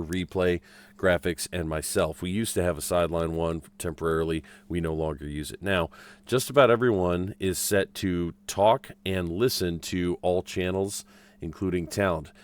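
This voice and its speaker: A man's voice: deep voice, smooth. A man giving an informal, explanatory walkthrough of technical equipment as an instructor. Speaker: broadcast program instructor giving a demo. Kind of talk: casual tech walkthrough.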